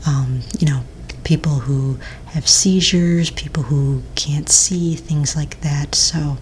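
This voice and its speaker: Woman as patient fantasy-registry office manager, ASMR roleplay. Low, soft, breathy near-whisper, gentle and unhurried, keyboard typing and background noise. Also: fairly even intonation. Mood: calm, polite. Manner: gentle, unhurried, hesitant pauses while checking paperwork. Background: computer keyboard typing.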